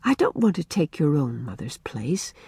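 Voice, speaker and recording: A woman voicing a kind, gentle young woman who speaks softly and reassuringly. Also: low voice, big pitch swings, normal speed, vocal fry, slightly rough, no room sound, heavily acted. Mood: tender. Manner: soft, tender, reassuring. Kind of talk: audiobook character reading.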